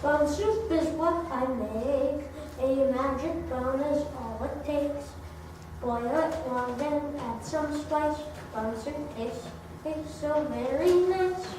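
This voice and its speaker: A woman's voice: high-pitched, takes it slowly. A woman playing a wily, polite, hungry traveler in amateur reader's theater, reading from a script with stumbles. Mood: boastful, coaxing. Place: large echoey room, recorded from afar. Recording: echoey room, distant mic, background noise.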